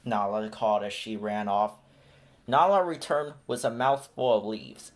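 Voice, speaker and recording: A man's voice: lowish voice, unhurried. Man reading a storybook aloud. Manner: steady, clear storytelling. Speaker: storybook reader. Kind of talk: children's read-aloud narration.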